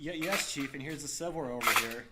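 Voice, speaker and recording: A man's voice: medium pitch, quick pace, very noisy, natural voice. A man playing a timid, deferential police sidekick with a hesitant stammer in a 1950s-style radio mystery.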